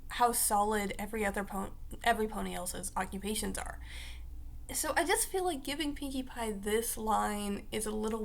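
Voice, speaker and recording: A young woman vlogging in a casual, rambling way, her voice raspy because she just woke up. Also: a little high, slight background noise. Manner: rambling, chatty, full of fillers like "like" and "uh". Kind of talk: casual talk to camera.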